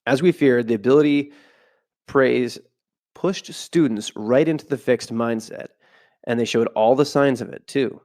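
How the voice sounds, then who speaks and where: Male podcast host, home setup, stuffy with a cold, reads a book aloud and drifts into loose asides, mid-pitched. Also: unhurried, darkish tone. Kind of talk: casual podcast, reading from a book with side comments. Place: home room, not a studio.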